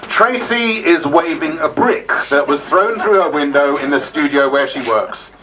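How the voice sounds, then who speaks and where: A man as bright, wry diary narrator. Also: fairly high pitch, animated, unhurried.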